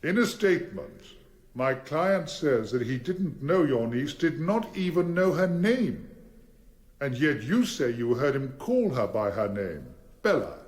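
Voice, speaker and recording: An actor playing a formidable barrister in a courtroom radio drama, probing witnesses in a deliberate, theatrical and insistent way. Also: male voice, mid-range voice, very animated. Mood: probing, measured. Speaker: defence barrister.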